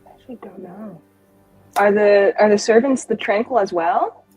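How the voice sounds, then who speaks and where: A woman's voice: medium pitch, moderate pace, a little mellow, husky, faint music behind, low-quality recording. A woman playing a tabletop roleplaying game, in casual, blunt table chat.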